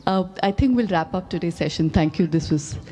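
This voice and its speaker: A woman's voice: lowish voice, fairly quick, mellow. A woman moderating a festival panel in a friendly, conversational way. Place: festival stage.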